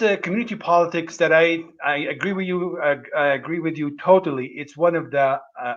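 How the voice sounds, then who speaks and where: Halting man hosting a livestream. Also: on the high side, highs cut off. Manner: halting, many restarts while searching for words. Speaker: host. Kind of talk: livestream conversation.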